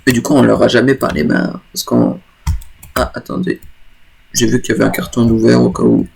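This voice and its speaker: A man at home giving loose, joking commentary over a game, often stopping to correct himself. His voice sits at an ordinary pitch with a lot of gravelly fry. A cold leaves it stuffy. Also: level tone, a little fast. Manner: rambling, self-correcting, joking. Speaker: gaming YouTuber. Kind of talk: casual let's play commentary.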